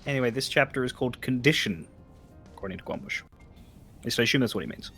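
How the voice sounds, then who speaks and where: A man's voice: medium pitch, fast, fairly bright tone. A man co-hosting a video game let's play with casual, joking commentary. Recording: game music faintly behind. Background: faint video game music.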